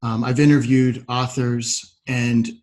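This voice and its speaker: A man's voice: mid-range voice, level tone, takes it slowly, a little mellow. A man hosting a podcast interview, speaking in an agreeable, reflective conversational way. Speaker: podcast host.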